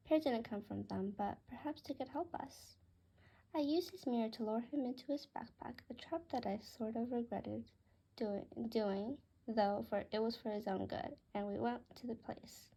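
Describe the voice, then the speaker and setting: A woman reading her own memoir aloud as a narrated story, with a husky, creaky voice. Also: fairly high pitch, dark tone, poor audio.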